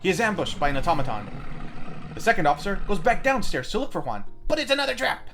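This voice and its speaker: A man hosting a film review, reading a scripted movie recap with deadpan, wry asides over music. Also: high voice, quick pace. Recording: music bed under the voice.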